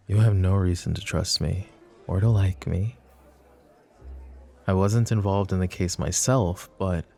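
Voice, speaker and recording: Roleplay audio: a man as a smug mafia boss, low gravelly purr, warm tone, faint music under. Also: exaggerated voice. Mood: earnest. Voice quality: gravelly, teasing, soft-spoken. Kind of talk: ASMR roleplay acting.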